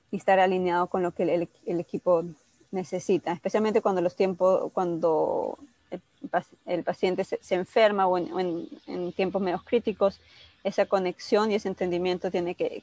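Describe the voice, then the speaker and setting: A woman doctor answering patients' questions on a video call, speaking haltingly with many restarts. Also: on the low side, steady tone, a little mellow, no room sound. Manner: halting, many restarts, switches between English and Spanish. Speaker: doctor at a cystic fibrosis center.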